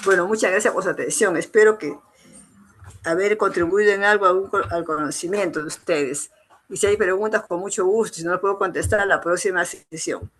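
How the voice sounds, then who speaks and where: A woman's voice: lowish voice, a little fast, fairly bright tone, rough recording. A woman with a Peruvian accent giving an informal lecture as an instructor, repeating phrases for emphasis and adding short tag questions. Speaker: engineer giving a training lecture.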